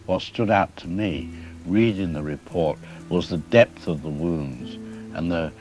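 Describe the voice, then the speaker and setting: A man playing a careful, deliberate wildlife expert doctor interviewed on the news in an audio drama. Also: low-pitched, darkish tone, faint music behind. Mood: measured concern. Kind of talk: broadcast interview acting.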